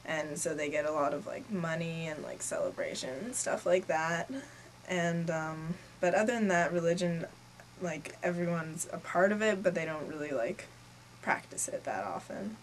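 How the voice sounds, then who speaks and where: A woman's voice: low-pitched, lively intonation, normal speed, a little hiss or hum, low-quality recording. A nineteen-year-old woman from Norway, a native Norwegian speaker, answering interview questions in a casual way with lots of "like". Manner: casual, frequent "like" as filler.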